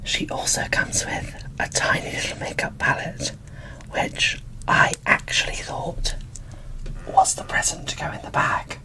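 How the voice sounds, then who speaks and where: A woman speaks in a soft whisper, as in an ASMR unboxing video. She takes her time, with a slow pace and a chatty, gushing manner. Her delivery is informal and unscripted. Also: low-quality recording. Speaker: video creator presenting a doll unboxing.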